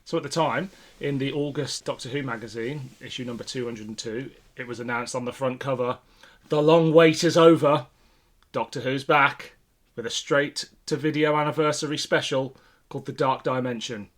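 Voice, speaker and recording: A man's voice: medium pitch, moderate pace. A British man co-hosting a podcast in a casual, conversational chat style. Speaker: podcast co-host. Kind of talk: casual chat about classic television sci-fi.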